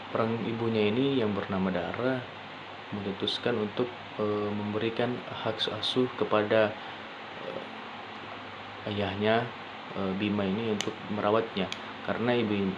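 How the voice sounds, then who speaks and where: A young man speaks in a slightly low voice at a very slow pace. He presents informally like a student, often halting to rephrase. The recording is lo-fi and dull, with clear noise behind him. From Indonesia. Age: young adult. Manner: halting, with frequent pauses and rephrasing. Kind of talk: informal spoken presentation for a class assignment.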